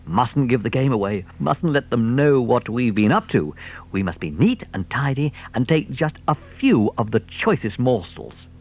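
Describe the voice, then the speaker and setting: A man's voice: moderate pace, husky. A man voicing a sly showy fox. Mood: cautious. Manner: gleeful, theatrical, high and lively. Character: sly grand male fox, proud and showy. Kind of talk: audiobook character voice.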